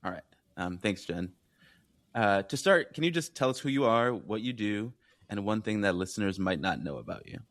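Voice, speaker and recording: A man's voice: fairly low pitch, lively intonation, moderate pace. A man who is a physician, hosting a podcast and interviewing in a conversational style.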